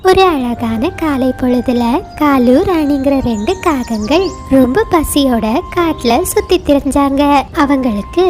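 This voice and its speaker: A woman's voice: high voice, lively intonation, a little slow, darkish tone, faint music behind. A woman reading scripted storybook narration for children.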